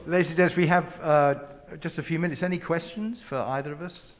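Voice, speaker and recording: A man's voice: a little high, steady tone, brisk, big-room sound. A man hosting an audience question session, chatty and joking with his guest. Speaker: host of the audience Q&A. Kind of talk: casual onstage conversation.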